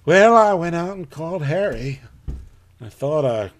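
A man playing a plain-spoken rural farmer in a live audio drama, telling his story in a folksy, halting way. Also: on the high side, expressive. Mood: unsettled.

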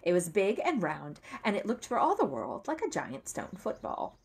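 A woman's voice: mid-range voice, wildly swooping pitch, normal speed, gravelly, a little mellow, slightly rough. A woman in her thirties reading a children's book aloud expressively, as a narrator at home. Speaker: story reader. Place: dim room at home.

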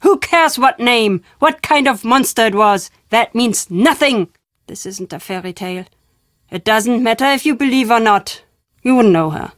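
A woman playing an enigmatic, foreboding traveling storyteller in an adventure game, stern and deliberate in her phrasing. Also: fairly high pitch, lively intonation, no echo, clean studio sound, natural voice. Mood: grave, insistent. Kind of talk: adventure game character acting.

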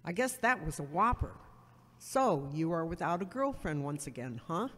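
A woman's voice: on the low side, sing-song, moderate pace, fairly bright tone, faint music behind. A woman acting a blunt, haughty woman who gives sardonic advice in an amateur audio drama. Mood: scornful. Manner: brusque, sardonic, superior. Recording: echoey hall with long reverb.

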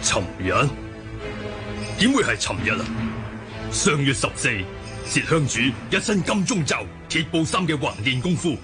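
An actor with a Hong Kong Cantonese accent playing a stern, scornful cult elder in a radio drama, curt and accusing. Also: male voice, fairly high pitch.

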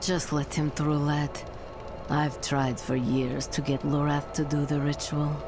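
A man's voice: on the high side, little pitch movement, a little slow. An actor playing a weary, easygoing older male city guard in a video game, sounding resigned. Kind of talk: dramatic video game acting. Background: game music.

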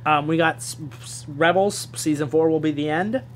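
A man's voice: a little high, normal speed, a little hiss or hum. A man co-hosting a podcast in casual chat, jokingly tossing out absurd theories. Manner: jokey, tosses out absurd theories. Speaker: podcast co-host.

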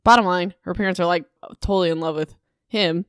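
A woman's voice: lowish voice, fairly even intonation, brisk, no echo, studio quality. A woman hosting a casual solo book recap, sounding a bit sick, informal and sarcastic with mild exasperation. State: sick with a cold-like illness. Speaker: podcast host.

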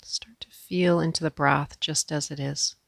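Female yoga instructor for guided meditation; gentle, pausing delivery, noticeable vocal fry, very dry sound. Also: deep voice, steady tone, no echo. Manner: gentle, unhurried, with pauses.

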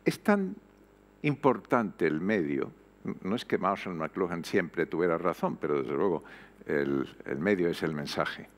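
Older man, lively emphatic pitch. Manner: reflective, emphatic, frequent hesitations. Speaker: panel guest. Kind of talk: panel discussion. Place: auditorium stage before an audience. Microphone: headset mic.

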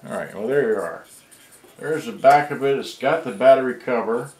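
A man's voice: medium pitch, expressive, moderate pace, a little mellow. A man giving a casual, rambling demonstration at a workbench, muttering to himself as he goes. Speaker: hobbyist repairer showing a vintage boombox. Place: cluttered indoor workbench. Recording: roomy, noisy home camera recording.

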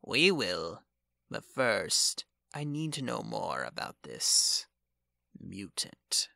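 A man playing a scheming, commanding supervillain with a gravelly voice in an ASMR audio drama. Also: lowish voice, sing-song, slow, bright, forceful tone, heavily acted. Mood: commanding, plotting.

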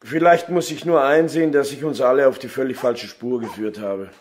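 Man acting dogged detective, medium pitch, subdued, self-doubting, radio drama, slight background noise. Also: steady tone, a little fast, lightly acted. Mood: resigned. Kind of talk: German crime radio drama dialogue.

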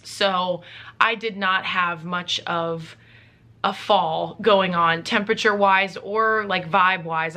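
A young woman vlogging from a living room, talking casually to the camera, with a low voice while she is sick. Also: bright, forceful tone. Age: young adult. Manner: chatty, upbeat, lists items quickly. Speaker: beauty vlogger. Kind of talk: casual sponsored vlog talk. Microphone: camera facing her, mid-shot.

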